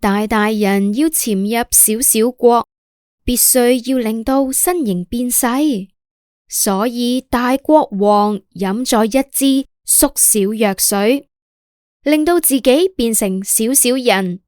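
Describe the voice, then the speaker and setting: A woman's voice: a little high, takes it slowly, a little mellow, dry recording, clean studio sound. A woman with a Hong Kong accent narrating a scripted children's story in a simple, explanatory way.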